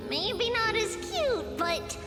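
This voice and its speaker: Very high, sweet little girl. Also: sing-song, takes it slowly, exaggerated voice. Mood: fond, encouraging. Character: tiny, sweet, childlike female elder-sister figure, kind and nostalgic. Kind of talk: anime-style game voice acting. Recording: long reverb, game music behind.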